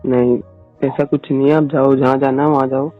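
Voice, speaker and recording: Man acting jealous boyfriend, young, narrow pitch movement, halting, sullen phone drama, tinny line. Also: flat delivery, lightly acted. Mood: defensive, sullen. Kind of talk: acted phone-call argument in casual speech. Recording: tinny phone-call sound under music.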